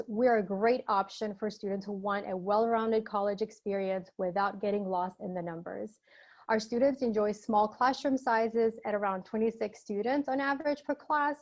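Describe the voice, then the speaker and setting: A woman whose first language is Albanian, an admissions counselor giving an upbeat presentation on a video call. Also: medium pitch. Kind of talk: webinar presentation.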